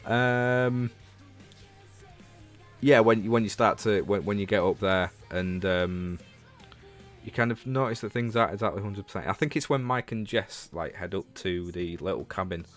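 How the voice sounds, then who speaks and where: A man's voice: on the low side, normal speed, faint music behind. A man from Leeds with a Northern English accent, chatting casually about games as a livestream host and talking to viewers. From Leeds, England.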